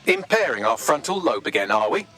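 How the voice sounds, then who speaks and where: A man's voice: medium pitch, very animated, brisk, fairly bright tone, soft background music. A British man voicing a witty, sarcastic artificial intelligence assistant in a video game, with a wry, chatty manner. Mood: mocking, amused. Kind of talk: scripted video game voice acting.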